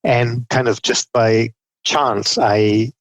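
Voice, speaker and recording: A man's voice: mid-range voice, fairly even intonation, slow, no room sound. A middle-aged man from Malaysia tells his story as a podcast guest, chatty and self-deprecating, often acting out what he said to himself. His voice sits in a middle range. The sound is dry and close, with no room around it. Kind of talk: conversational storytelling.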